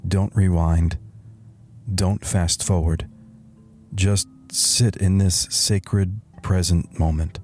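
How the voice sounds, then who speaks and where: A man playing a wry, weary middle-aged slacker turned meditation guru, narrating a satirical guided meditation in a deadpan, soothing way with deliberate pauses. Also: sits low. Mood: calm, deadpan. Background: soft background music.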